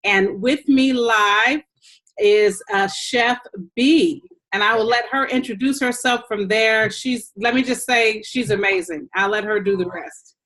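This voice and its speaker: Enthusiastic woman hosting a podcast. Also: fairly high pitch. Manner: warm, enthusiastic, unhurried. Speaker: podcast host and health coach. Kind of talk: casual podcast chat.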